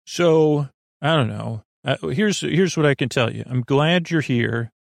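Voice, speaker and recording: Creaky-voiced man rambling through a bedtime monologue. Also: fairly high pitch, no echo, clean studio sound. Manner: meandering, halting, self-interrupting with trailing tangents. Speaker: podcast host.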